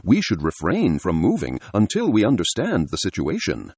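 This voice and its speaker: A man's voice: low-pitched, wildly swooping pitch, moderate pace, darkish tone, slightly rough, no room sound, exaggerated voice. A man voicing a tired, practical stranded pilot in an audiobook adventure story. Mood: cautious.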